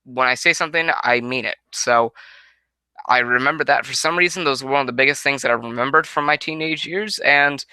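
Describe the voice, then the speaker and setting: A man's voice: medium pitch, a little fast, bright, forceful tone, clean tone, dry recording, poor audio. A nineteen-year-old man from Connecticut answering viewer questions in a rambling, casual way, full of restarts, on a headset mic at home. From Connecticut, USA. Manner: rambling, many restarts and self-corrections. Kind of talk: casual Q&A monologue. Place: small room at home, indoors. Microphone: headset microphone.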